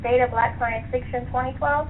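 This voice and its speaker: A woman's voice: a little high, fairly even intonation, moderate pace. A middle-aged American woman, a guest author chatting casually and laughing often in an interview over a phone line. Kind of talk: casual radio interview chat. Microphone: telephone. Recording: tinny phone line with faint noise.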